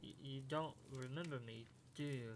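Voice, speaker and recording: A man's voice: mid-range voice, moderate pace, slight background noise, natural voice. A man playing an earnest, wistful companion in a fan audio drama.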